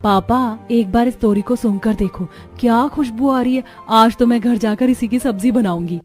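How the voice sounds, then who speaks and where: Woman playing young village bride, sweet, eager, high-pitched, cartoon, background music. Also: normal speed, a little mellow, natural voice. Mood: cheerful. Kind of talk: cartoon story voice acting.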